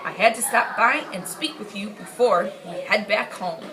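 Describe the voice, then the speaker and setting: A woman's voice: medium pitch, normal speed, close to an everyday voice. A woman voicing a jovial, grand king in a children's animal story, with a hearty, regal, emphatic manner. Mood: hearty, pleased. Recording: clearly noisy amateur recording.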